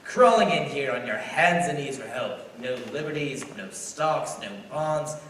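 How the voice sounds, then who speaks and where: A man's voice: medium pitch, lively intonation, measured pace, a little hiss or hum. A man playing a gloating, cold-hearted older banker villain in a live radio play, taunting and sneering. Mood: gloating, scornful. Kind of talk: live 1940s-style radio play acting. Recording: distant echoey theater pickup, loud music.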